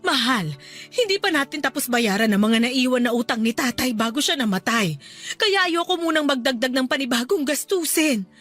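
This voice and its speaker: A woman's voice: sits high, expressive, normal speed, a bit bright, soft background music. A woman playing an apologetic, self-sacrificing wife and mother in a radio drama, with a pleading manner. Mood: apologetic, reluctant. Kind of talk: Tagalog radio drama acting.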